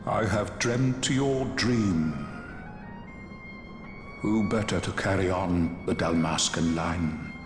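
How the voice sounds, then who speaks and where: An actor playing a serene, ancient holy seer in a video game, speaking in a solemn, measured, cryptic way. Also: male voice, deep voice. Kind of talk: scripted game cutscene acting. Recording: echoing hall with music.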